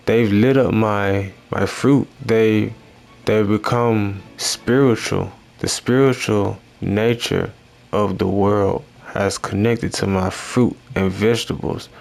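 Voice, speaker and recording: A man doing rambling, improvised commentary over a game he is playing, with a meandering, self-amused, slow deadpan delivery. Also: fairly low pitch, vocal fry. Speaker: gaming YouTuber.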